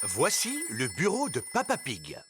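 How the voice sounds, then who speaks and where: Lively male cartoon narrator. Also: fairly high pitch, a little slow, background noise. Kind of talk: scripted children's cartoon narration. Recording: prominent music behind the narration.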